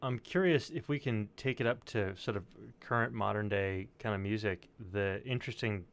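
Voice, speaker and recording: A man's voice: fairly low pitch, moderate pace, gravelly. A man co-hosting a casual music panel, putting questions and talking informally. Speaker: co-host asking questions. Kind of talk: casual panel chat, some swearing.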